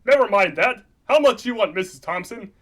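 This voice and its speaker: A man's voice: sits high, expressive, brisk, fairly bright tone. A man voicing an earnest young frog puppet in a comic radio play, urgent and a little hesitant. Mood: kindly urgent.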